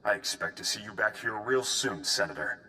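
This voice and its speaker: An actor playing a brisk, stern officer in command, with terse delivery in a video game cutscene. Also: male voice, on the low side, animated, bright, forceful tone, far from the mic, slight background noise. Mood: stern, urgent.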